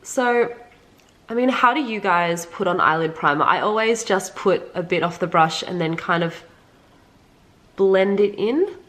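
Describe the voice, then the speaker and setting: A woman's voice: on the low side, a little slow, a bit bright, poor audio. A woman with a congested, slightly nasal voice from being sick, giving a casual, chatty beauty review straight to her viewers. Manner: informal, frequent "like", addressing viewers directly. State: sick with a cold. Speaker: beauty vlogger reviewing makeup.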